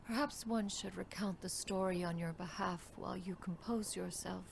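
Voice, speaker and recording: A woman's voice: lowish voice, steady tone, moderate pace, vocal fry, background noise. A woman voicing a stately, aloof but caring female immortal in a video game, speaking in a formal, archaic way. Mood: solemn sympathy. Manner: archaic, formal, calls herself "one".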